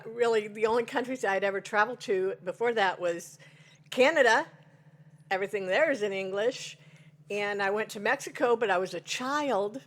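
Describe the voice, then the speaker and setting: A woman in her sixties, chatting to viewers over coffee and rambling through a personal story with folksy exclamations. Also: medium pitch. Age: 60s. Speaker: devotional vlogger.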